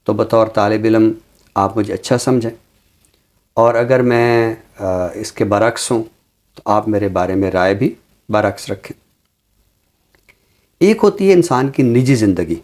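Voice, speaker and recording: Very slow-spoken, didactic Pakistani man. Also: on the low side, darkish tone. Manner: measured, didactic, explains by examples with deliberate pauses. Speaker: teacher and programme guest. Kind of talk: reflective social commentary in an interview.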